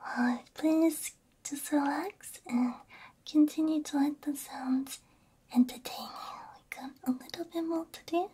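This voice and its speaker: A woman whispering gently and soothingly in an ASMR roleplay as a repair technician, breathy and close to the microphone. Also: sits high, steady tone. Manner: gentle, soothing, unhurried, soft hums between phrases. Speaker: ASMR VTuber playing a robot repair technician. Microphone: close binaural ASMR microphone.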